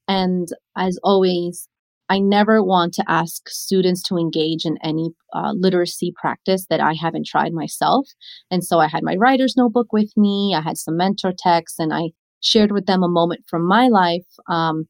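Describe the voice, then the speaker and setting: A woman's voice: lowish voice, fairly even intonation, moderate pace, vocal fry, dry recording. A woman from Chile who moved to the US as a child, speaking conversationally as an educator and telling anecdotes with frequent check-ins. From born in Chile, came to the United States when little. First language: Spanish. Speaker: educator and author. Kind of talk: conversational interview answer with anecdotes.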